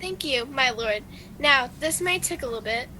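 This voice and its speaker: A high, bright voice with slight noise, a woman playing a polite and dutiful young daughter in a radio play. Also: animated, moderate pace. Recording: faintly noisy home video-call recording.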